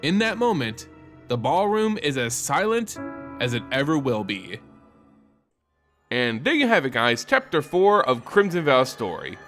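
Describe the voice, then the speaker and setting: A man hosting and narrating fantasy lore in a scripted, theatrical and expressive storytelling style. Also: medium pitch.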